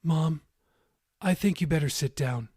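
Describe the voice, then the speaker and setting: A man's voice: on the high side, measured pace, a little mellow, breathy edge, dry recording, studio quality. A man voicing a troubled, apologetic daughter in a subdued, hesitant way for an audiobook. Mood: grave. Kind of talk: audiobook character dialogue.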